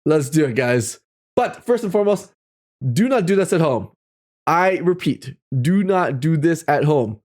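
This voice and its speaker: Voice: mid-range voice, animated, measured pace, darkish tone. A livestream host chatting casually to viewers in a playful, exclamatory way, often repeating phrases for effect.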